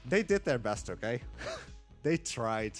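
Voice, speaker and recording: Casting: easygoing man commentating a game run, mid-pitched, faint music under him. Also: slow. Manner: relaxed, chuckling, explanatory. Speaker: speedrunner. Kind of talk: casual live gameplay commentary.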